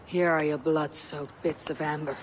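A woman's voice: sits low, fairly even intonation, normal speed, slight background noise, natural voice, poor audio. A woman playing a stoic, hardened Viking warrior in a video game, terse, stern and guarded. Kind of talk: dramatic video game acting.

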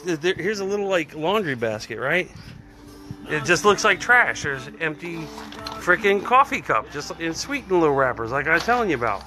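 A middle-aged man rambling excitably and swearing casually during a live phone stream outdoors at a storage unit. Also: on the high side. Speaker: vlogger. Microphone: phone at arm's length.

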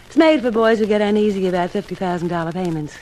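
A flirtatious female agent played by an actress speaks in a medium-pitched voice with coy, businesslike patter. It is 1950s radio drama acting, with faint noise on the recording. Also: fast talker, darkish tone, natural voice, poor audio. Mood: brisk, businesslike.

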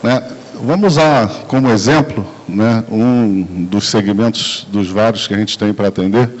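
A man's voice: fairly low pitch, measured pace. Brazilian man presenting in a conference room. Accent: Brazilian Portuguese. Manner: relaxed, conversational, frequent tag questions and fillers. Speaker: sales talk speaker. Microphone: handheld mic, recorded by far camera. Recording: dull lo-fi camcorder audio.

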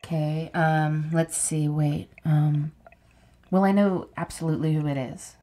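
A woman's voice: deep voice, steady tone, unhurried, warm tone. A woman playing a wry, emotional heroine kept as a living head in an audio drama, in naturalistic, pointed dialogue. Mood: playful.

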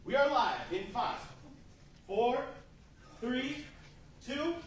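Man playing high, animated 1940s radio announcer. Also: drawn-out words, exaggerated voice. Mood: brisk, rallying. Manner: theatrical, wry. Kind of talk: 1940s radio narration on stage. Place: large indoor meeting room with seated audience. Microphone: far camera. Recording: very echoey room from far off, faint noise.

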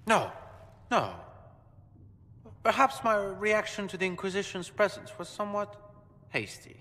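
A pompous noble marquis. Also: male voice, sits high, big pitch swings, unhurried, bright, forceful tone, exaggerated voice. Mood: flustered. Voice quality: pompous, indignant. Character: haughty noble marquis, middle-aged man. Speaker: game character. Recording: reverberant game audio.

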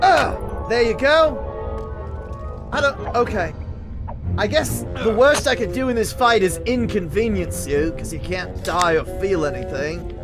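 A man's voice: high-pitched, expressive, unhurried. A man playing a theatrical, sarcastic undead pirate captain in an improvised comedy fan dub. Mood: mock gracious. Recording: music playing behind the voice.

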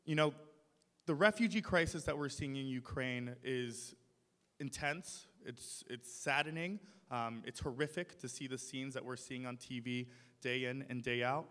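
A young man speaks at a podium in a big ornate hall, his voice bright and forceful. He builds to emphatic points with passion. A long reverb trails his words through the room. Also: on the high side. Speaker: city council member. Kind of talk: public speech at a podium. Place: large ornate hall, indoors. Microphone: podium mic. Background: audience in the hall.

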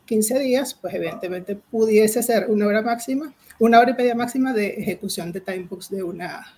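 A woman's voice: mid-range voice, a little mellow. Venezuelan woman giving an online workshop. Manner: explanatory, addresses the audience directly, frequent fillers "este" and "eh". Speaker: agile coach presenting a workshop. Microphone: video call.

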